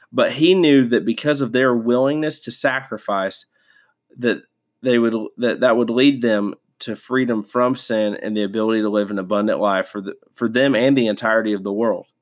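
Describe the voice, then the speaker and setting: A man's voice: medium pitch, normal speed. A man hosting a devotional podcast, stuffed up from a sinus infection, talking casually with many fillers and restarts. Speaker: podcast host.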